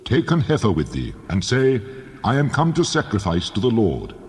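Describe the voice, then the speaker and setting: A man's voice: on the low side, huge pitch swings, normal speed, darkish tone, breathy edge, full character voice. A man voicing God as a majestic, commanding figure in a dramatized scripture reading, solemn and authoritative. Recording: long hall reverb, faint noise.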